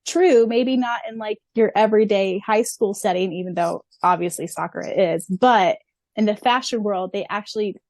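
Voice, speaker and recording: A woman in her thirties talks casually on a fan podcast. Her voice sits at a middle pitch and is recorded close and dry. She is chatty and giggly, readily acting things out. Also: moderate pace, darkish tone, no echo. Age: 30s. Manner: chatty, giggly, acts out quotes. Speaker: podcast co-host and audiobook reader.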